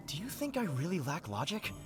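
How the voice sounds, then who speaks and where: A pompous would-be novelist. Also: male voice, fairly high pitch, big pitch swings, a little fast. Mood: grandiose. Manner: florid, melodramatic delivery. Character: pompous adult male would-be novelist, theatrical and grandiose. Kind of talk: video game character dialogue. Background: game music.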